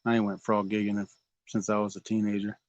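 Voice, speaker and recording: A man's voice: on the low side, completely flat delivery, fast talker, no room sound. Male fishing host, 40s, easygoing outdoor banter, close dry lo-fi sound. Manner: folksy, joking, coaxes the fish aloud. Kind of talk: casual chat while night fishing. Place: outdoors on a lake at night.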